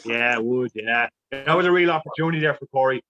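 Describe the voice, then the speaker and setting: A man talks quickly through live fly-fishing commentary, circling back with fillers and repeated words as he thinks aloud. His voice sits mid-range, and the audio is dull and muffled. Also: mid-range voice, bright, forceful tone. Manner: rambling, many fillers and restarts. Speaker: expert co-commentator.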